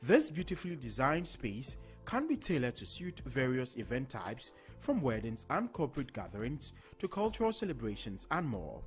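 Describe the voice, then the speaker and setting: Lively male ad announcer over music. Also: medium pitch, normal speed, hardly any pauses. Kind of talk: scripted advertisement voice-over.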